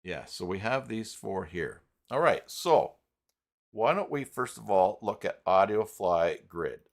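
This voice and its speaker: Deep-voiced man hosting a slow tutorial. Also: very animated. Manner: halting, thinking aloud while working. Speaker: host of live tutorial stream. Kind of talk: unscripted live software tutorial.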